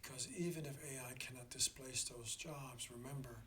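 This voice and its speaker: A slightly hoarse man hosting a reflective spiritual talk, speaking in a halting, deliberate way and often checking in with the listener. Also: medium pitch, steady tone, fairly bright tone, slight background noise. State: slightly hoarse after a throat infection. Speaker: host of a spiritual learning channel.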